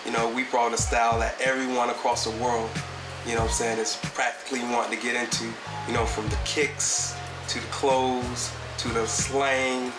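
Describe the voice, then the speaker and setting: A man's voice: mid-range voice, level tone, moderate pace. A man from the United States speaking in a laid-back way as an interviewee, with lots of fillers. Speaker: hip hop artist interviewee. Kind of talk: casual interview talk. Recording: echoey room with guitar music.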